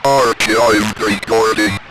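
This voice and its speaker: A man's voice: fairly low pitch, steady tone, slow. A man voicing an impersonal automated announcer of classified recordings in an audio drama, clipped and official. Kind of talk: scripted classified-recording preamble. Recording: loud electronic music and radio sound.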